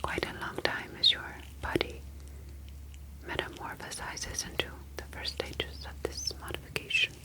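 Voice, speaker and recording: A woman playing a calm, clinical ocean alien scientist in an ASMR sci-fi roleplay, whispering in a soft, formal, reassuring manner. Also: normal speed, natural voice, rough recording. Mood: soothing, formal.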